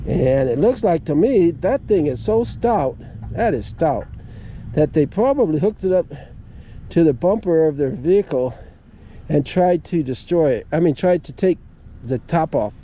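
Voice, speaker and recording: Mellow, folksy man talking outdoors. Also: on the high side, expressive, a little slow, lo-fi sound, slight background noise. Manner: folksy, plain-spoken, rambling. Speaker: amateur radio vlogger. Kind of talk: casual walk-around vlog narration. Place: outdoors at a campground.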